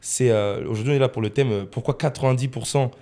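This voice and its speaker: A man's voice: mid-range voice, fast, mellow. A man of twenty-one hosting a casual podcast in a studio, rephrasing his points for emphasis. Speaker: podcast host, freelance video editor.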